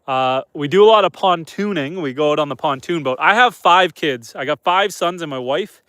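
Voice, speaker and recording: A man from Canada talks in a loose, easygoing way, his voice thick and nasal from a head cold. His voice sits a little high for a man. The sound is dry and close, with no room echo. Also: moderate pace, smooth. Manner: relaxed, chatty, soft-spoken to the animals. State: stuffed-up nose from a head cold. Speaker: homesteader streaming live. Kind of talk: casual live-stream chat reading comments. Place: outdoors at night on a farm.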